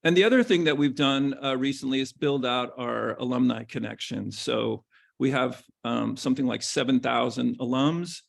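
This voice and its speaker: A man speaking as a university president, welcoming admitted students in a relaxed, halting way with many ums, over a video call. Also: medium pitch, gravelly.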